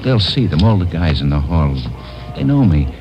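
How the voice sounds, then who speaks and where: Low-voiced, pleading American prisoner. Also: male voice, big pitch swings, moderate pace, poor audio. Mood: anxious, pleading. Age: 30s. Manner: pleading, hesitant. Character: anxious, pleading male hijacking suspect in handcuffs. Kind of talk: 1950s radio crime drama acting. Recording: vintage radio recording with music behind.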